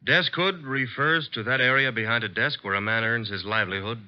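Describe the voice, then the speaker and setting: A man playing a brisk, wry detective's assistant in a vintage radio mystery, matter-of-fact in delivery. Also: medium pitch, natural voice. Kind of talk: 1940s-50s radio mystery acting. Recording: dull vintage radio recording.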